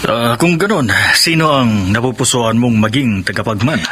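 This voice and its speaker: An actor playing a greedy, scheming male lawyer in a Filipino radio drama, with a measured manner. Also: medium pitch, expressive, background noise, natural voice. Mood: probing. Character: scheming, greedy male lawyer, deferential to his rich client.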